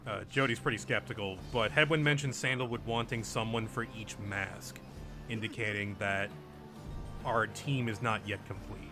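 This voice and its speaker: A man's voice: fairly low pitch, steady tone, moderate pace, music in the background. A man giving a casual recap of a video game as a podcast host.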